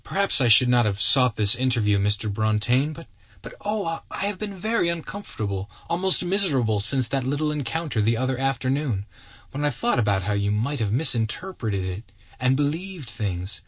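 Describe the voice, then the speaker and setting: A proud young society woman, flustered and pleading, her voice pushed up high and lively, as a male narrator puts her on. She stammers between phrases. The sound is close and dry. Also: a little fast, no echo.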